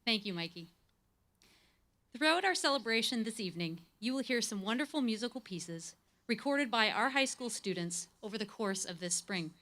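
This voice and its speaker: A woman with a slightly high-pitched voice acts as host of a ceremony. She reads prepared announcements in a formal, scripted style. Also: lively intonation, moderate pace, pushed and bright.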